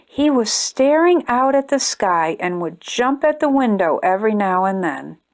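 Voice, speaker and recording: A woman hosting a storytime channel, reading a children's picture book aloud in a gentle, expressive way. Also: medium pitch, a little slow. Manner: gentle, expressive reading, brighter and chattier when addressing viewers. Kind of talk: read-aloud storytelling for kids.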